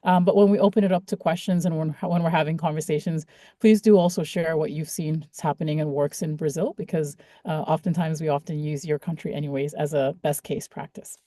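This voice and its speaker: A woman's voice: low voice, fairly even intonation, brisk, dark tone, no echo, clean studio sound. A woman with a Canadian accent giving an upbeat, chatty guest presentation over a video call. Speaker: guest speaker. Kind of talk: friendly presentation.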